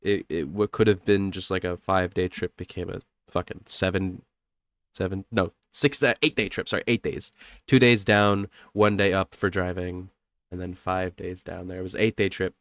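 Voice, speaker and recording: Young man, stuffy congested nose, low voice, dry close sound, rambling casual podcast chat. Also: little pitch movement, moderate pace, fairly bright tone, no echo. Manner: rambling, self-correcting, laughs often. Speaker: podcast co-host.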